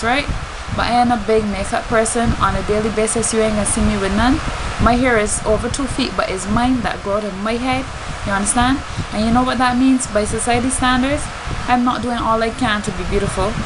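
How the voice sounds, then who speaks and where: A woman's voice: mid-range voice, noisy background. A woman from Barbados with a Bajan accent talks casually and emphatically to her fans, in an outspoken way. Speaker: singer and spoken word artist. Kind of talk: casual vlog talk to fans.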